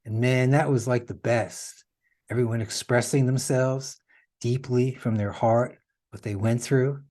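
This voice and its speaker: A man's voice: mid-range voice, fairly even intonation, a little slow, darkish tone. A man hosting a podcast, explaining things in a casual, conversational way. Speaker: podcast host.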